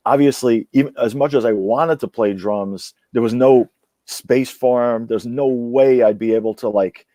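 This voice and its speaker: A man's voice: mid-range voice, moderate pace, warm tone, dry recording, clean studio sound. A man talking casually as a guest over a video call, rambling with many fillers and restarts. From born in Iran, raised in England and the US. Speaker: guest musician. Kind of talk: casual interview chat.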